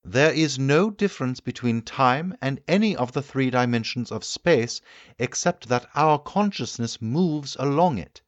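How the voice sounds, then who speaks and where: Guy doing an eager victorian inventor for an audiobook, pitched way up, lecturing and emphatic, dry dull recording. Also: lively intonation, a little slow, no echo, natural voice. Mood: eager explaining. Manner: emphatic, didactic, declamatory. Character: Victorian gentleman inventor, eager, assured, lecturing his guests. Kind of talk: audiobook character acting.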